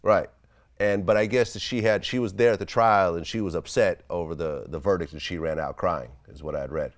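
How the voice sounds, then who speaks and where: A man working as an interviewer, asking questions casually and piecing them together in a halting way. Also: low voice.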